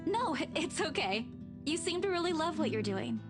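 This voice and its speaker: A woman's voice: high-pitched, big pitch swings. An actress playing a shy, courteous young woman with a hesitant manner in a visual novel game. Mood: polite, curious. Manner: hesitant, halting. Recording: music behind the voice.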